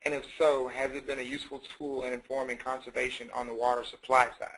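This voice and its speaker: Man, webinar moderator, medium pitch, casual question-reading delivery. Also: monotone, quick pace, a bit bright, poor audio.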